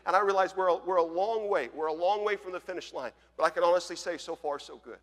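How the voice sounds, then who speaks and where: A man's voice: sits high, fast talker, big-room sound. A pastor in his forties from Colorado preaching a conversational, storytelling sermon. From Colorado, USA. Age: 40s. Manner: storytelling, conversational, swings from loud to hushed. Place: church.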